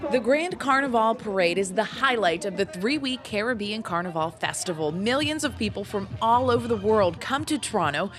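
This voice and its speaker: A woman reading a scripted voice-over narration in a bright, upbeat way. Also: medium pitch, animated, moderate pace, hardly any pauses. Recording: voice-over over loud music.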